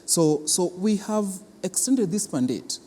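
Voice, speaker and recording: A man with a Kenyan accent giving explanatory interview answers as an arts institution official, halting and deliberate, often repeating words for emphasis. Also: high voice, expressive, warm tone. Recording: long reverberant hall, clear background noise.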